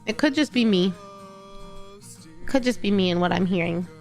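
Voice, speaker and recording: A woman's voice: medium pitch, moderate pace, vocal fry, a little mellow, faint music behind. A young woman streamer from Texas, sounding congested and nasal, rambling casually to her live chat and correcting herself as she goes. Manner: rambling, self-correcting, chatty.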